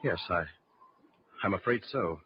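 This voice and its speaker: An actor playing an earnest, curious gentleman narrator with formal phrasing in an old-time radio drama. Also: low-pitched, animated. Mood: troubled recounting. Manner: formal, measured storytelling phrasing. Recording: muffled old radio recording, faint noise.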